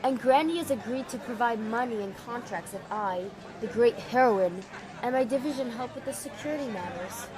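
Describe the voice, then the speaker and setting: A woman playing a proud young warrior general in an audio drama, commanding and quick to fluster, with music behind her. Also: a little high, crowd noise, lightly acted, poor audio. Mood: matter-of-fact, proud. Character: proud young female general, warrior hero, hurt sister. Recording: background music under the voice.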